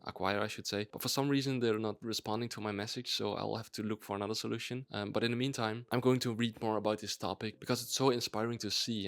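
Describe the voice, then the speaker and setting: A man's voice: lowish voice, no room sound. A young Dutch man, a native Dutch speaker, talking in a chatty, enthusiastic explainer vlog with ums and restarts. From Netherlands. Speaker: YouTuber.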